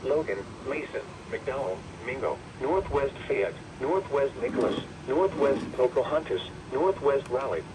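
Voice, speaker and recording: A man's formal, impersonal, measured voice reading a scripted emergency weather bulletin, played through a weather radio. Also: mid-range voice, lively intonation. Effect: weather radio playback. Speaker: weather radio alert announcer. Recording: noisy weather radio replayed in a room.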